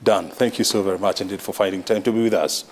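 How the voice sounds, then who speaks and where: A man, hosting a television interview and asking measured, probing questions. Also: sits low, steady tone, slight background noise. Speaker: TV interview host. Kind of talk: broadcast interview.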